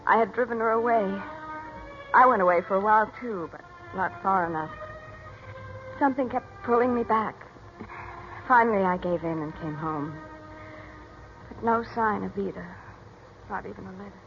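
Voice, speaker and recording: A woman's voice: medium pitch, normal speed, pauses often, close to an everyday voice. An actress with an American accent playing a weary, pleading mother devoted to her estranged daughter in a 1940s radio drama. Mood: regretful. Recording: muffled 1940s radio recording, music.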